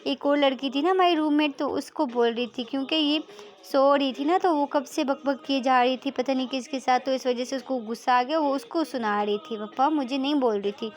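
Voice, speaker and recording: A woman's voice: high-pitched, fairly quick, lightly acted. A woman voicing a young female student in a told story, insistent and repetitive, a daughter who can be feisty. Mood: placating. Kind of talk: amateur acted dialogue in a narrated story.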